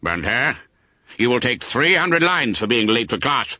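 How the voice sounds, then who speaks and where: A man's voice: medium pitch, big pitch swings, measured pace, no echo, highs cut off. A man voicing a stern, outraged schoolmaster who scolds imperiously in a story reading.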